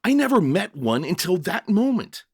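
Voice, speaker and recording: A man's voice: high-pitched, big pitch swings, moderate pace, a little mellow, breathy edge, no room sound, studio quality, pitched above the performer's own voice, full character voice. A man playing a bewildered, earnest jailer who tells his story in the first person with dramatic, stammering emotion. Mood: baffled, questioning. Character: young-hearted jailer narrator, bewildered, earnest, emotional father.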